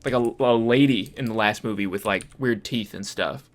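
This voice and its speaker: A man co-hosting a podcast, discussing films casually in a halting, repetitive, conversational way. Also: lowish voice. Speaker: podcast host.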